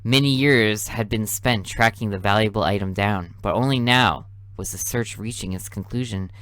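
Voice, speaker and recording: A man's voice: fairly low pitch, normal speed, no room sound. A man hosting a game playthrough, reading the story narration aloud with casual asides. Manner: reads narration evenly, drops into quiet asides. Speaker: let's play host.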